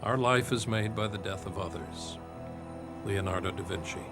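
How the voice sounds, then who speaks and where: Male actor as serious TV profiler, very low register, thoughtful scripted voice-over with clear music behind. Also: close to an everyday voice. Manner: measured, serious. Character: serious, reflective male criminal profiler narrating. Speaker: actor in a TV crime drama. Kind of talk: scripted voice-over reciting quotations. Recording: music under the voice.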